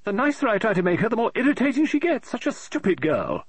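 A man's voice: high-pitched, very animated, quick pace, breathy edge, dry recording, voice pushed up, heavily acted. A man voicing a scatterbrained middle-aged woman novelist in an audiobook, fretful and muttering, exclaiming in despair. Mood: fretful, scattered. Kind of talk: audiobook character voice.

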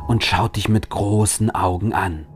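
A man's voice: fairly low pitch, fairly even intonation, a little slow. A man hosting and reading an interactive story in a dramatic, theatrical way. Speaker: host and story reader. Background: music.

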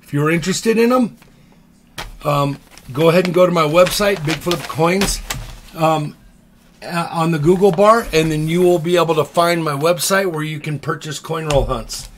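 A man's voice: on the high side, moderate pace, a little hiss or hum. Chatty middle-aged man selling coins. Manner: chatty, relaxed, many ums. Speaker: coin dealer host. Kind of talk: casual live-stream sales talk. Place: home office with coin displays.

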